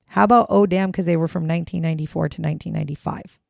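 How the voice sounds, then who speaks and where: Woman, hoarse raspy voice, gravelly, dark tone, casual podcast chat. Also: on the low side, steady tone, rapid-fire, dry recording, studio quality. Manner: chatty, blunt, casual swearing. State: hoarse, raspy voice after choking on water. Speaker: podcast co-host.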